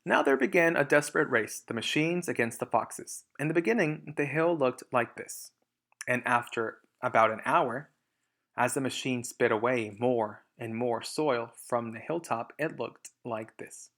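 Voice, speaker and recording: A man's voice: mid-range voice, measured pace, a bit bright. A man reading a storybook aloud as a teacher, emphatic in narration and explanatory in asides.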